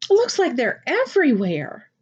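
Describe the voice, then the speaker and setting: Woman voicing an earnest, higher-pitched young boy, muffled sound. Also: wildly swooping pitch, moderate pace. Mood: questioning, frustrated. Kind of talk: picture book read aloud.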